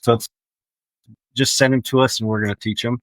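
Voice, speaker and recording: A man's voice: medium pitch, fairly even intonation, brisk, fairly bright tone, no room sound. A man speaking as an instructor in a casual interview, repeating phrases for emphasis. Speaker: air traffic control instructor.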